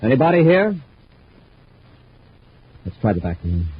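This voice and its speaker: A man playing a calm, authoritative space patrol commander in terse 1950s radio style. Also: medium pitch, unhurried. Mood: alert. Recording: muffled old radio recording, faint noise.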